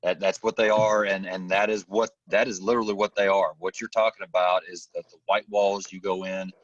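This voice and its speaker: A man hosting a podcast in casual conversation, halting and repeating words for emphasis, recorded on a distant, dull microphone. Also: low voice, little pitch movement, no room sound. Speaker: podcast host, firefighter.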